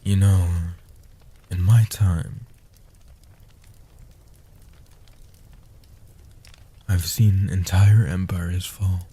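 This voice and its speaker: A man playing an ancient, protective male vampire in a soft-spoken comfort roleplay, speaking in a breathy, hushed, deliberate and soothing way. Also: low-pitched, a little hiss or hum. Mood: solemn, reverent. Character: ancient male guardian vampire, protective, tender, world-weary.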